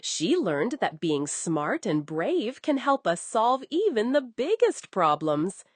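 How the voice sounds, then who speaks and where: A woman's voice: mid-range voice, big pitch swings, slow pace, no echo. A woman narrating a scripted bedtime story for young children, coddling the listener with endearments.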